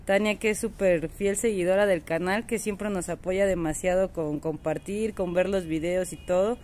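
A woman's voice: lowish voice, fairly even intonation, normal speed, a little mellow. A woman, chatting to viewers from an outdoor patio on a phone camera. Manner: chatty and affectionate, talks to viewers. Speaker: animal rescue vlogger. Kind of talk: casual narration on camera.

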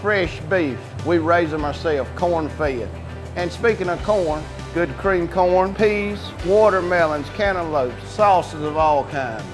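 A middle-aged man delivering a local commercial pitch for his business. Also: takes it slowly, rough recording. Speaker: business owner advertising his market. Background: music.

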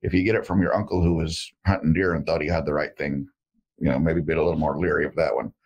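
Deep gravelly-voiced man, folksy and joking. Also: fairly even intonation, fast, darkish tone, highs cut off. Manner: folksy, joking, rambling with fillers. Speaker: herbalist guest. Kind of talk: casual interview talk. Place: indoor room with shelves of jars. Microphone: video call.

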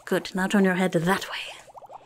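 A woman's voice: on the low side, level tone, a little slow, slight background noise, lightly acted. A young woman voicing a rude, chaotic female goblin nurse in an ASMR roleplay, muttering fussily and repeating her words, close to the microphone. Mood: fussy, instructing. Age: young adult. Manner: fussy, muttering, repeats words, blunt asides. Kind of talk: whispered and soft-spoken ASMR roleplay.

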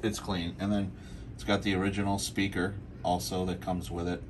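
A man's voice: sits low, little pitch movement, normal speed, background noise. A man giving a casual, unscripted, matter-of-fact product demonstration as the seller, on a handheld home video.

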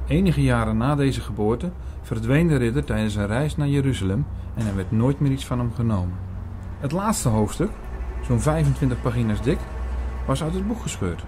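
Earnest priest-narrator played by a man, medium pitch, scripted storytelling, faint noise behind. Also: a little mellow, lightly acted. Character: earnest male priest recounting his investigation. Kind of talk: scripted audio drama narration.

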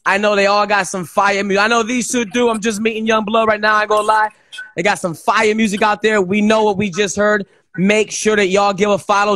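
High-voiced man hosting a livestream. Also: fairly bright tone. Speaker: livestream show host. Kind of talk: casual, animated hosting chat.

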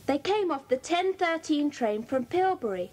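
A woman's voice: high-pitched, animated, moderate pace, darkish tone. A woman with a British accent playing a keen, observant schoolgirl in a children's audio drama, describing things precisely.

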